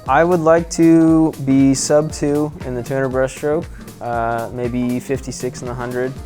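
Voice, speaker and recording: A man's voice: mid-range voice, little pitch movement, unhurried, a little mellow, faint music behind. A young man from Idaho, a college swimmer, answering interview questions casually. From Idaho, United States. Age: college freshman, about 18.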